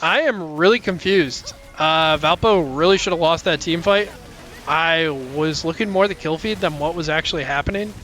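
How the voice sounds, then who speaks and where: A young man casting a live college esports match, calling plays quickly, with many fillers and sudden jumps in pitch at big plays. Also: a bit bright, soft background music. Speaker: esports caster. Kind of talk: live play-by-play commentary. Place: college esports broadcast booth.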